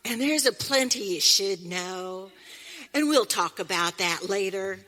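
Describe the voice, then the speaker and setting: A motherly pioneer woman from a western radio drama. She is folksy and emphatic, bright and very lively, drawing her words out slowly in a reverberant theater. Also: medium pitch. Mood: motherly, practical.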